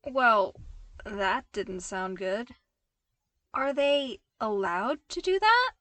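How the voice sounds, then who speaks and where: A woman's voice: a little high, animated, extremely slow, a bit bright, dry recording, full character voice. A woman voicing an earnest young male apprentice with a hesitant, questioning manner in an audio fan fiction. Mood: puzzled, dubious. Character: earnest, unsure young man, a polite apprentice.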